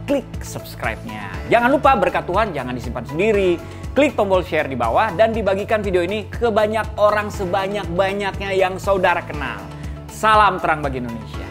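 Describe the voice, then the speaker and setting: A woman's voice: medium pitch, very animated, a little fast. A woman announcer reading a scripted promotional message to viewers. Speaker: channel announcer. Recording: music behind the voice.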